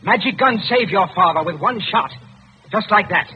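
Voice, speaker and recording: An actor playing a cool, crafty Englishman in 1940s radio drama style, persuasive and deliberate. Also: high-pitched, expressive, noisy background. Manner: deliberate, simple coaxing phrases. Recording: dull old radio broadcast recording.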